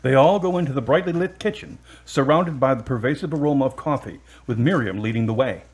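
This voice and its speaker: A man's voice: mid-range voice, a little fast, slightly rough, lightly acted. A man reading scripted scene narration for an audio drama.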